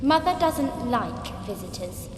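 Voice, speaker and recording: A woman's voice: fairly high pitch, big pitch swings, a little slow. A woman voicing a sly, childlike vampire girl who coaxes and teases in a video game cutscene. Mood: coaxing, playful. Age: child figure. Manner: coaxing, teasing, sing-song childlike. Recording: echoey hall, long reverb, noisy ambience.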